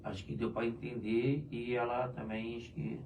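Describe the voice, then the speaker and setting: A hoarse man talks loosely and warmly on a live union program, tossing in "né?" at nearly every turn. His voice sits at an ordinary middle pitch. He sounds set back from the microphone, with a faint hiss of room noise behind him. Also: level tone, normal speed, far from the mic, phone-quality sound. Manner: loose and chatty, many "né?" tags. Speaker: union director co-hosting a live show. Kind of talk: casual live chat answering viewers.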